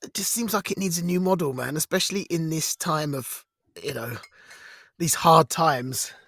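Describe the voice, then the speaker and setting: A man co-hosting a casual podcast, telling things in an animated, rambling, colloquial way. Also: fairly high pitch, normal speed, dry recording. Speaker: podcast co-host, also audio drama performer.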